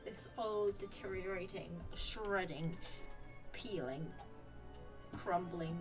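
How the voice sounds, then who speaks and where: A woman's voice: on the low side, takes it slowly. A woman doing casual playthrough commentary on a video game as a streamer, reacting to the scenes. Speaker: gaming streamer. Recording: distant mic, game music behind. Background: game music.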